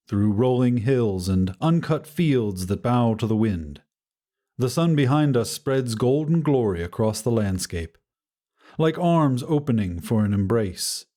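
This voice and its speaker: A man narrating a scripted first-person story in a measured, dramatic way with deliberate pauses. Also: medium pitch, dark tone. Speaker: audiobook narrator.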